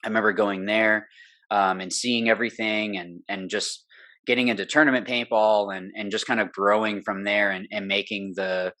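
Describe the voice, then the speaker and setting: Young man, interview guest, low-pitched, rambling, earnest, lots of ums. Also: steady tone, moderate pace, bright, forceful tone. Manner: rambling, many fillers and restarts, earnest. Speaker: guest, pro paintball player. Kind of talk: casual interview talk. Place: home studio room.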